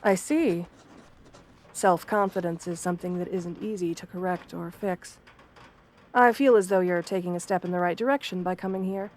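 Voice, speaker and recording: Soft-spoken, gentle wolf-girl therapist played by a woman. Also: fairly low pitch, natural voice. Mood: understanding, supportive. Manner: gentle, measured, formal and reassuring. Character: sweet, understanding female wolf-girl therapist, professional and composed. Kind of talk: soft-spoken ASMR roleplay.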